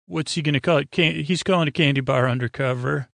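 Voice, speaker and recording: A man speaks in a gravelly, fry-laden voice, recorded very dry. He hosts a sleep podcast as a wandering monologue. His delivery is halting and whimsical, looping back on itself and leaving thoughts half finished. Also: fairly high pitch, no room sound. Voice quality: creaky. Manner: meandering, halting, repeats and trails off mid-thought; whimsical, silly tangents. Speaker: podcast host. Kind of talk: rambling bedtime podcast monologue.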